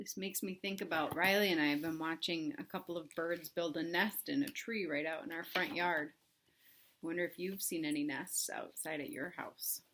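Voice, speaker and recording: A woman's voice: sits low, fairly even intonation, moderate pace, fairly bright tone, slight background noise. A woman teacher chatting informally to children as she reads a story aloud.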